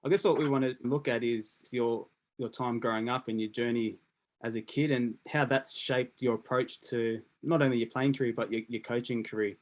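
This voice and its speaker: A man hosting a casual podcast. His voice sits at a mid pitch. He leads the conversation in a relaxed, easy manner. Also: moderate pace. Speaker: podcast host. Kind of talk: casual interview chat.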